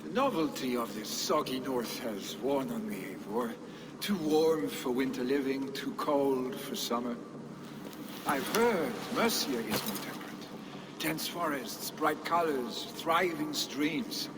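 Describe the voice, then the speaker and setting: An actor playing a carefree, boastful young Viking warrior, the son of a jarl, with a teasing, jovial manner. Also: male voice, mid-range voice, lively intonation, slow. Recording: game cutscene with ambient noise.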